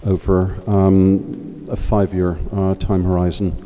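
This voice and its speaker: A man giving a formal financial report at a meeting, answering questions in a measured, hesitant way with many fillers. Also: sits low, little pitch movement, warm tone, slight background noise. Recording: reverberant hall.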